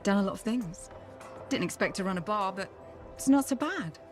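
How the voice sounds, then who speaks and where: An actress playing a tough, streetwise bar owner in a video game, brusque and wry with a no-nonsense manner. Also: female voice, medium pitch, expressive, normal speed, faint music behind, natural voice. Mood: relaxed, reflective. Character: tough, streetwise female frontier bar owner, secretly soft. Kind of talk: video game dialogue acting.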